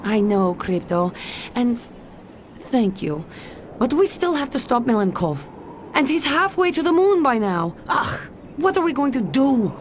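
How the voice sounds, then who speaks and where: An actress playing a capable, earnest Soviet spy in a video game, speaking with a Russian accent and a dry, businesslike manner. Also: female voice, on the high side, sing-song, a little slow, a little hiss or hum. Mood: grateful, worried. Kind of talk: video game cutscene acting.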